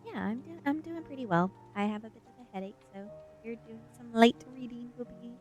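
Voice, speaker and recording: A woman streamer with a soft-spoken, informal manner, reading aloud for a live audience in a casual ASMR style. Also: fairly high pitch, brisk, soft background music. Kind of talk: reading a novel aloud on a livestream, with chat asides.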